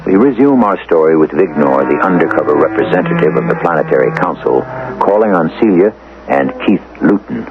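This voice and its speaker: A man hosting a radio drama, narrating from a script in a measured, portentous and theatrical way. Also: rumbling low, expressive. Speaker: host and narrator. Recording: narrow, tinny old radio recording. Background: music.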